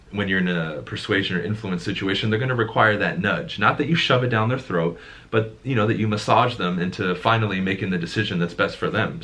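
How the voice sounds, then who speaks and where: A man with a deep voice, talking casually as a sales coach and stressing his points with repeated words. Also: bright, forceful tone. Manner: emphatic, repeats words when making a point. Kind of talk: casual conversation.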